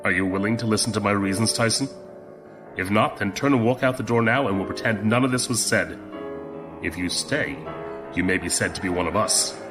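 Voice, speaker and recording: An actor playing a seasoned, fatherly admiral of a space empire in an audio drama, measured and authoritative. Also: on the low side, music in the background, lightly acted. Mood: grave, resolute.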